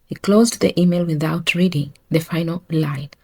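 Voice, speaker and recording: Woman, audio drama narrator, raspy timbre, noticeable vocal fry, dramatic scripted reading. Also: fairly low pitch, normal speed, dark tone.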